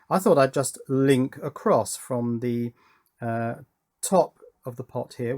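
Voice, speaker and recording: A man explaining circuits at his electronics workbench in a methodical, think-aloud way, recorded on a camera microphone. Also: medium pitch. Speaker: electronics hobbyist presenter. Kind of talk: workbench tutorial.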